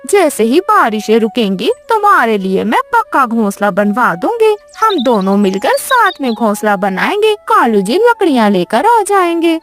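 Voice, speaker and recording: A woman's voice: a little high, very animated, moderate pace, hardly any pauses, faint music behind. A woman voicing a bossy female crow wife in a children's cartoon, calling out and egging her husband on. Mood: kind.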